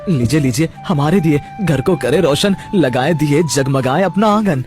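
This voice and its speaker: A man's voice: a little high, lively intonation, normal speed, natural voice. A man playing a poor, earnest village potter in a Hindi cartoon story, speaking in a theatrical, emphatic way. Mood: cheerful. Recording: background music throughout.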